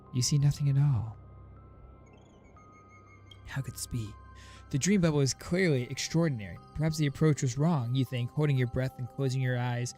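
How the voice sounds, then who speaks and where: Mid-pitched man streaming and narrating a game. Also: darkish tone. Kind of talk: reading game narration aloud, casual commentary. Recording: faint game music underneath.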